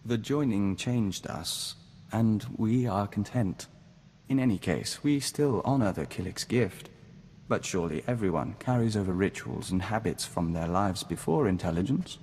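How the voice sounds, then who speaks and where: A man voices a serene game diplomat bonded to an insect hive, his pitch deep. He speaks formally and carefully, as a collective "we." The recording has long reverb and some background noise. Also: expressive, darkish tone, close to an everyday voice. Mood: serene, reverent. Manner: formal, measured, speaks of himself as we. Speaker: game companion character. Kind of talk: scripted game dialogue acting.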